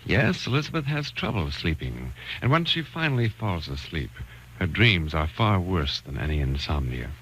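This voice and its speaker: A man hosting and narrating a 1940s radio thriller in a solemn, measured, scripted style. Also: low-pitched, big pitch swings. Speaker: host and narrator. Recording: dull, noisy 1940s radio recording.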